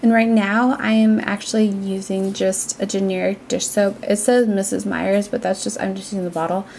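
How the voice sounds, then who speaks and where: A woman's voice: mid-range voice, level tone, normal speed, vocal fry, darkish tone, slight background noise. A young adult woman with an American accent, narrating a cleaning vlog in a casual, conversational, explanatory way. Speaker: cleaning vlogger.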